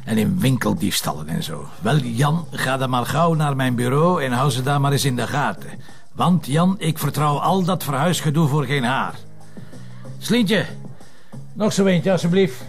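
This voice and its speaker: An actor playing a grumpy, sarcastic police commissioner with a curt, dismissive Flemish delivery in a naturalistic TV drama. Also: male voice, medium pitch, expressive, moderate pace, vocal fry, faint music behind. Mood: irritated, sarcastic.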